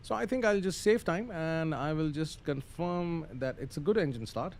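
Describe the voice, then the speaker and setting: A man's voice: on the high side, moderate pace, darkish tone. A man giving casual live-stream commentary on a flight simulator, muttering through procedures hesitantly with some radio phraseology. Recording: faint background noise.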